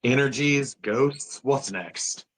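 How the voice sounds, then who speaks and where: Guy playing a skeptical hospital doctor, dry and measured, mid-pitched voice. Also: a bit bright. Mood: dismissive. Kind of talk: acted table read of a screenplay.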